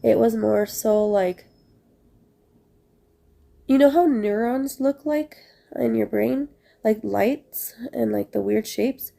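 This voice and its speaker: A woman's voice: a little high, slow, creaky, long pauses, dark tone. A young woman with a stuffy, congested voice, casually telling a rambling story to her viewers with many fillers. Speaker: vlogger.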